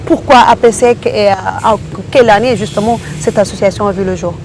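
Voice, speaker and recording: A woman's voice: mid-range voice, fast, music in the background, low-quality recording. Woman hosting, many fillers. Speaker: radio show host. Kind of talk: hosted panel interview.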